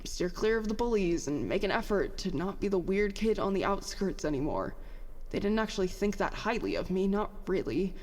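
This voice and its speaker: A stressed young officer acted by a woman in a sci-fi audio drama speaks at a middling pitch in a long, hall-like reverb. The delivery is halting and confessional, weary and full of self-doubt, rambling through guarded admissions. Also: expressive, a little mellow, lightly acted. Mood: wounded, confiding. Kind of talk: audio drama acting, naturalistic confessional dialogue.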